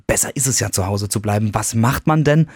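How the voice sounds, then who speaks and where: A man hosts a podcast in a relaxed, chatty way, pausing on fillers as he lines up his questions. The sound is dry and close, with almost no room. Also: lowish voice, breathy edge, dry recording. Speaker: podcast host. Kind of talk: casual podcast chat and interview.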